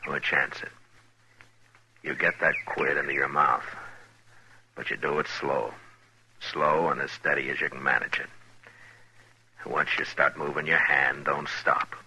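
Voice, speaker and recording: A very deep, forceful Old West lawman with a gravelly edge. He speaks in terse, measured orders, grim and steady, in the style of a 1950s radio western on a slightly noisy vintage recording. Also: full character voice. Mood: tense, controlled. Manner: low, measured, terse instructions.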